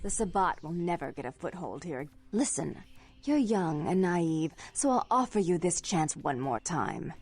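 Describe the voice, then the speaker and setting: A woman's voice: on the low side, very animated, unhurried, a little mellow, breathy edge, faint music behind, heavily acted. An actress voicing a haughty, imperious female vampire in a video game, speaking with formal, condescending menace. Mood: cold warning.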